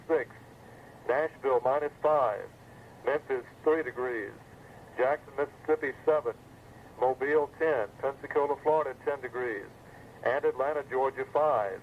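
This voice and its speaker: A male announcer reading a scripted weather bulletin over a 1980s weather radio broadcast. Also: mid-range voice. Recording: muffled phone-like 1980s weather radio, faint noise.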